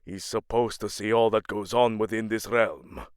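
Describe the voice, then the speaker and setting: A man's voice: lowish voice, steady tone, moderate pace, smooth, no echo, studio quality, close to an everyday voice. A man playing a commanding, bloodthirsty Norse god-king in a fantasy audio drama, taunting in formal, archaic phrasing.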